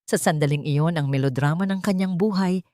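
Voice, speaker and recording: Woman, scripted dramatic narration, lower register, solemn measured delivery, very dry sound. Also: dark tone, no echo.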